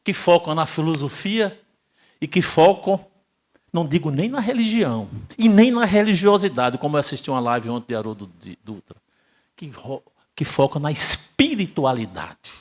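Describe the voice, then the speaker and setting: Older man, spiritist lecturer, expressive, fairly high, rhetorical questions, loud-soft swings. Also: moderate pace. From Brazil. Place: spiritist center hall, live audience.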